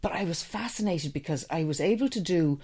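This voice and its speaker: A woman's voice: lowish voice, lively intonation, a little fast, dark tone, slightly rough. A middle-aged Irish woman, an interview guest, talking chattily and with enthusiasm about her training business. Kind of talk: casual interview chat.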